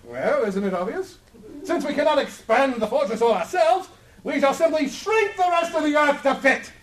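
Pompous hero type, really high voice, grand and sure of himself, roomy live stage radio play. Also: male voice, expressive, moderate pace, crowd noise. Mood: confident, grand. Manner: grandiose, theatrical. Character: pompous, self-assured male adventurer hero. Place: convention stage before live audience. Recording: roomy live stage, distant mic, faint noise.

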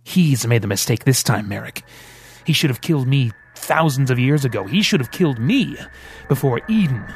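A man voicing a smooth, sarcastic male devil who teases and threatens by turns in an audiobook. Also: medium pitch, expressive, normal speed. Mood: persuasive. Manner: teasing, sarcastic, theatrical. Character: sly mocking male devil, smooth, menacing.

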